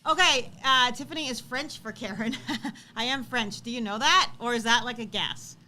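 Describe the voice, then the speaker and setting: A chatty, animated woman co-hosting a live stream, bantering casually and teasingly with viewers. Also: on the high side, moderate pace.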